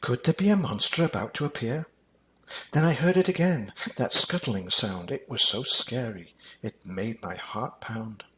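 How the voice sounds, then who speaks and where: An older man narrating a rhyming children's story as a storyteller, in an expressive, dramatic manner. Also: mid-range voice, measured pace.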